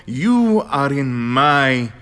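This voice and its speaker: An actor playing a cocky, shrewd bandit chief in an audio drama, with a taunting, theatrical manner. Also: male voice, mid-range voice, big pitch swings, drawn-out words, fairly bright tone, clean tone, heavily acted. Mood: mocking, challenging.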